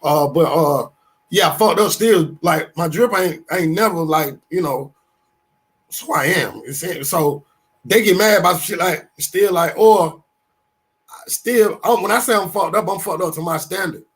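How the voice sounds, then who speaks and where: A man in his fifties with a fairly high voice, talking loosely on a podcast. He stays animated and profane, stumbles over restarts, and keeps circling back into his stories. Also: measured pace. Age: 50s. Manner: animated, swearing, storytelling with restarts. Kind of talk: casual podcast talk.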